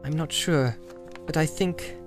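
A man's voice: fairly high pitch, a little slow, natural voice. A man voicing an earnest, weary and somewhat impatient young male hobbit traveller in an audiobook. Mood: tired, worried. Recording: music behind the voice.

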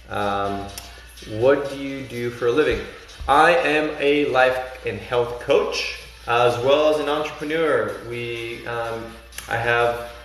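A man's voice: mid-range voice, a bit bright. An encouraging life coach in his thirties, originally from Argentina, chatting with viewers. His phone at arm's length picks him up in a bare, strongly echoing living room. Faint music plays behind him. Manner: earnest, encouraging, reads out viewer comments. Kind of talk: casual livestream chat with viewers. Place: bright living room indoors.

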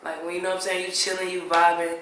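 A young man hosting a blind date, talking in an energetic, slang-heavy way. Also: sits high, fast talker, slight background noise. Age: young adult. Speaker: host. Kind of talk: casual chat. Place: living room indoors. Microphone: far camera. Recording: echoey room from far off.

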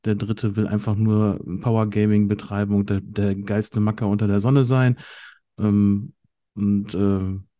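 Low-voiced, mellow man searching for words. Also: little pitch movement. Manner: halting, repeats words searchingly. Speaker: podcast panelist. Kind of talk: casual discussion.

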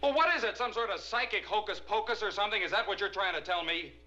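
An agitated husband in a mystery drama, skeptical and insistent. His voice is fairly high and bright, on a dull soundtrack with faint music. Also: quick pace. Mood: agitated, incredulous. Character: agitated, skeptical husband demanding a rational explanation. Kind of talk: dramatic film or television acting.

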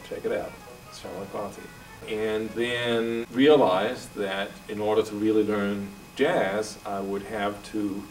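A man in his forties from Denmark with a Danish accent, answering interview questions in a relaxed, thoughtful way with filler pauses. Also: lowish voice, recorded from a distance, low-quality recording. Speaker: guest jazz violinist. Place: office room, indoors.

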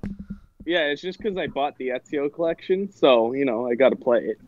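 A man's voice: medium pitch, lively intonation, a little fast, darkish tone, highs cut off, slight background noise. A young man co-hosting a gaming podcast, chatting in a laid-back, matter-of-fact way. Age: young adult. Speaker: podcast co-host. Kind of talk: casual group chat on a video call.

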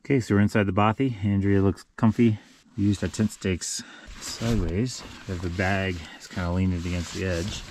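A man's voice: sits low, fairly even intonation, normal speed, darkish tone, slight background noise. A man in his forties casually narrating a hike to an action camera held at arm's length outdoors. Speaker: vlogger on an adventure racing team. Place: outdoors on a windy mountain trail, sometimes inside a small shelter.

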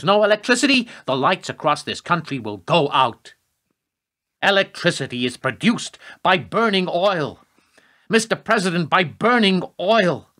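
An actor in a radio play voicing a ruthless, emphatic political adviser pushing for war. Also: male voice, mid-range voice, a bit bright. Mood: alarmed, emphatic. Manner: rhetorical, emphatic speechifying, curt replies. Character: ruthless, forceful male American presidential adviser pushing for war.

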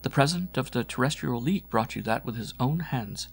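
A brisk, kindly hospital nurse performed by a man in a science fiction story, the voice lifted well above his own. The delivery is calm and formal, with a slightly gravelly edge. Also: mid-range voice, faint music behind, voice pushed up. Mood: reassuring, matter-of-fact.

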